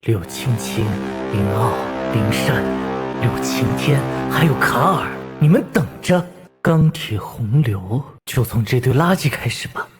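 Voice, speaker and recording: An actor playing a reborn young mecha commander in an animated drama, vengeful, determined and brooding in manner. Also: male voice, mid-range voice, takes it slowly. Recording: music behind the voice.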